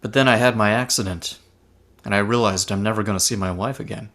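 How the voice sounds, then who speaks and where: Guy doing earnest sappy ghost dude in a table read, deep-ish but bright and bouncy. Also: steady tone, quick pace. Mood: wistful. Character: earnest, sentimental male ghost reminiscing about his wife. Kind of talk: amateur table read acting over video call.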